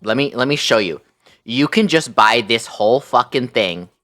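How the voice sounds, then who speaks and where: Very low-voiced woman livestreamer. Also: normal speed, faint music behind. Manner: animated, incredulous, slangy. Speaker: livestream host. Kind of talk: casual livestream storytelling.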